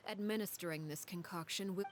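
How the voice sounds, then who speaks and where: An actress voicing a stern, commanding woman in a video game, speaking in a cold, measured, formal way. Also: on the low side, slight background noise, natural voice. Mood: calm, formal. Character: stern, commanding woman, feared 'Father' of her followers. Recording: game audio with background music.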